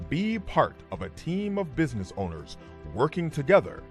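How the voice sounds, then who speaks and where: A man's voice: a little high, huge pitch swings, moderate pace, soft background music, voice pushed up. A man voicing an earnest announcer in a scripted business commercial. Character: soft-spoken announcer for business coaching ad.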